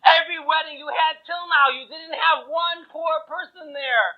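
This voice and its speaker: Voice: high-pitched, steady tone, moderate pace, voice pushed up. A storyteller voicing a stern old mystic who lectures reproachfully, on tinny phone-like audio.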